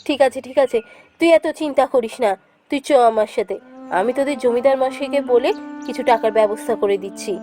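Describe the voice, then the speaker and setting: A woman's voice: on the high side, a little fast, warm tone, faint music behind, lightly acted. A woman voicing a kindly, motherly mistress of a landlord's house in a cartoon fairy tale, soothing and familiar.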